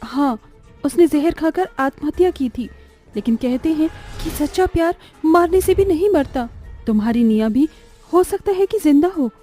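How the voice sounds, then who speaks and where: A woman's voice: high-pitched, expressive, normal speed, dark tone, soft background music. A woman voicing a bold, earnest young woman in a horror cartoon, speaking dramatically. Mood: urgent, earnest. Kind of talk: Hindi horror cartoon voice acting.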